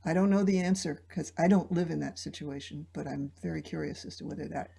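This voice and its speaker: Woman, discussion participant, raspy and gravelly, thinks aloud on a video call. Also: low voice, fast, mellow. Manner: thoughtful, searching for words. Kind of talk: reflective discussion.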